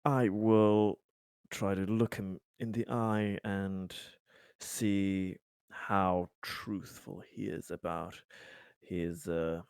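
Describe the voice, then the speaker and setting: A man playing a tabletop roleplaying game, improvising casually with hesitant, deliberate phrasing. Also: deep voice, little pitch movement, gravelly, a little mellow, smooth, no echo, clean studio sound.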